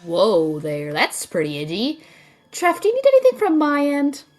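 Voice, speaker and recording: A woman's voice: high voice, wildly swooping pitch, normal speed, darkish tone, faint music behind, full character voice. A woman playing a witty, brainy magical sidekick, dry, teasing and precise, in a fantasy audio drama. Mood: wary.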